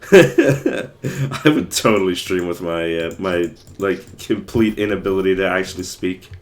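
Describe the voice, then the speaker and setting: A hoarse young man who is losing his voice, rambling casually with many fillers while he streams a game. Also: low-pitched, unhurried. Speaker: livestreamer playing a game. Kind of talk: casual gameplay commentary.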